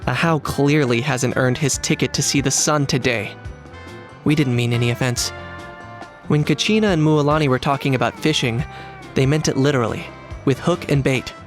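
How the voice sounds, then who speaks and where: A man's voice: mid-range voice, normal speed, lightly acted. A man voicing a polite, unruffled young man with a dry manner in a video game. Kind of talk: video game character acting. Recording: music behind the voice.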